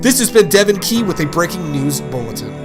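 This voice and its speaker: Man acting as news anchor, high male voice, formal, measured, scripted bulletin delivery, music behind, audio drama. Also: sing-song. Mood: formal, urgent.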